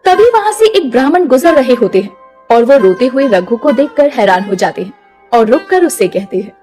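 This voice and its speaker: A woman's voice: a little high, big pitch swings, moderate pace, dark tone, faint music behind. A woman narrating a scripted cartoon story as the storyteller.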